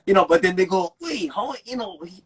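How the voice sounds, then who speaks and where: Husky-voiced Hawaiian man. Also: high voice, a little fast. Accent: Hawaiian Pidgin English. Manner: earnest, animated speech in Pidgin phrasing. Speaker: guest. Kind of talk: casual chat.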